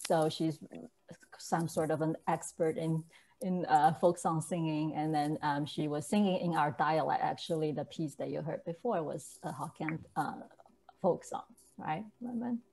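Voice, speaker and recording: A young woman from southeast China speaks in a mellow, dark-toned voice, feeling her way through answers with plenty of "um"s and restarts. She talks casually over a webcam from a large, bare loft room. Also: deep voice, normal speed. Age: young adult. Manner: hesitant, many fillers and restarts. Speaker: choreographer guest. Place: large empty loft room with brick walls, indoors. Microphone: webcam on a video call.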